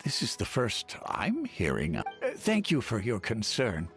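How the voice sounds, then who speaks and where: A gentle old village grandfather from a video game. He is courteous, apologetic and a little forgetful. His delivery is slow and his pitch rises and dips dramatically over soft music. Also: huge pitch swings, heavily acted. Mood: mildly puzzled. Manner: hesitant, courteous. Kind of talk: video game character acting.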